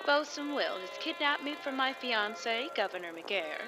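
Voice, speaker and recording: Lady playing a posh snooty young noblewoman, kinda high, indignant, audio drama, music under it. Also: lively intonation, moderate pace, lightly acted.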